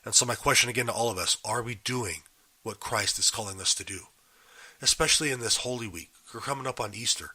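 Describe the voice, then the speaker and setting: A man hosting a daily Bible podcast while getting over a cold, his voice still a little raspy, explaining a passage in earnest and putting questions to his listeners. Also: lowish voice, a little fast, sharp tone. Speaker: podcast host. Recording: live and unedited.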